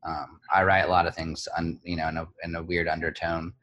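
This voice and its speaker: A man speaking as an interview guest, candid and reflective, pausing often with fillers and restarts. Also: low-pitched, little pitch movement, fairly bright tone, muffled recording. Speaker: guest, blogger.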